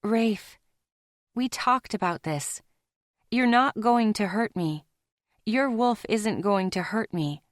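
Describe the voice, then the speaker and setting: Woman reading a soft sweet young woman, soothing, slow and close, dry sound. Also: mid-range voice, no room sound, lightly acted. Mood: reassuring. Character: gentle, stubborn young woman, soothing her mate.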